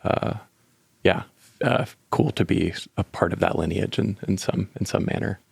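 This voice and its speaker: A man with a very low, gravelly voice, talking as a guest musician in a casual interview. He pauses and restarts often, feeling his way to each thought. The sound is close and dry. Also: no room sound. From North Carolina. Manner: thoughtful, hesitant, soft-spoken. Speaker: band member guest.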